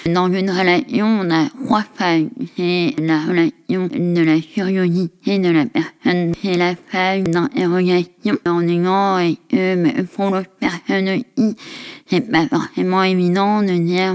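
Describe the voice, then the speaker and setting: Frank woman in her thirties, smooth voice. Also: on the low side, darkish tone. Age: 30s. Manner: frank, humorous storytelling with many hesitations. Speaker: podcast guest. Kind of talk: casual conversation.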